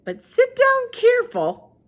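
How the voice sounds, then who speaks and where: A woman voicing a kindly, wise old woman with poor eyesight and a drawling Southern accent, pitched high and loud. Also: huge pitch swings, unhurried, full character voice. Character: old woman with bad eyes, warm and wise.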